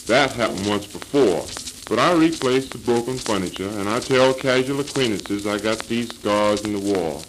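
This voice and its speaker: A man's voice: lowish voice, lightly acted. Man playing a hapless henpecked husband, crackly old record. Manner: mock-earnest, theatrical delivery. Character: hapless, henpecked husband giving a comic lecture. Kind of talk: early 20th century comic monologue. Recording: crackly, hissing 1939 78 rpm record.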